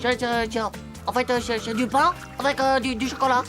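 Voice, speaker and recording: A woman voicing an awkward, excitable, sulky schoolboy in a French cartoon, with a halting, whiny, stammering delivery. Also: a little high, music in the background, lightly acted. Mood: nervous, hesitant.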